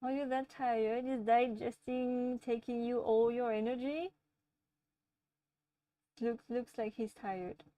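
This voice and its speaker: A woman from France with a French accent, hosting a livestream and chatting casually with viewers in a gentle, easygoing way, often laughing. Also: a little high, steady tone, takes it slowly, pauses often, dry recording, recorded from a distance, highs cut off. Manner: gentle, chatty, laughs often, talks softly to her pets. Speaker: livestream host. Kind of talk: casual live chat answering viewers.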